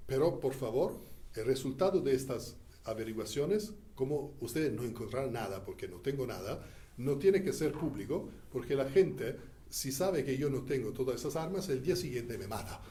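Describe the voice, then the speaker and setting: A man who learned Spanish as a second language recounts his years as a Church diplomat in an interview, speaking deliberately with frequent pauses. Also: mid-range voice, lively intonation, mellow. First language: not Spanish.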